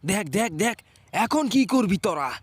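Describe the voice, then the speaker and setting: A man's voice: sits high, sing-song, normal speed, breathy edge, dry recording, full character voice. A man voicing a cheeky, put-upon male fox in a cartoon, complaining in colloquial, repetitive phrases. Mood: irritated, scolding. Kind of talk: cartoon character acting.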